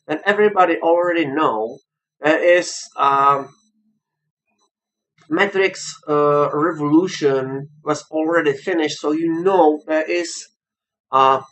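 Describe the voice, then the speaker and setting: A man with a non-native English accent giving an informal, unscripted game review, halting but emphatic about his opinions. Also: fairly high pitch, low-quality recording. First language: not English.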